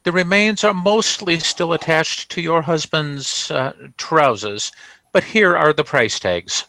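Stuffy male trophy dealer, florid formal talk, forceful tone, raised pitch, old-time radio comedy. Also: measured pace, no room sound, low-quality recording. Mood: pompous, aggrieved. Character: pompous, flustered male trophy dealer defending his goods. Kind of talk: 1940s radio comedy acting.

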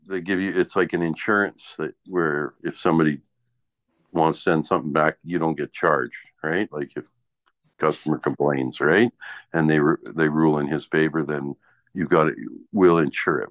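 A man's voice: extremely low pitch, moderate pace, fairly bright tone. A man chatting casually in a blunt, grumbling, sweary way. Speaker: small business owner and online seller. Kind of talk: casual chat. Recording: dull lo-fi sound in echoey room.

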